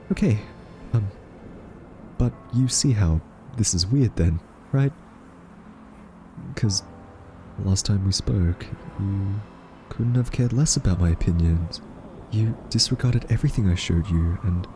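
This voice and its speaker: A man playing a timid, quirky scientist in an audio roleplay, soft-spoken, stammering and awkwardly polite. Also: on the low side, a little slow, long pauses, soft background music, natural voice. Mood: baffled, hesitant. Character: timid, quirky male scientist held captive by a rogue AI. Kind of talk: audio roleplay acting addressed to listener.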